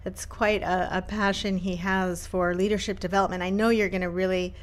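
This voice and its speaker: A woman's voice: medium pitch, little pitch movement, fairly quick. A woman hosting an interview, introducing her guest in an upbeat way with casual fillers. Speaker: host, institute president.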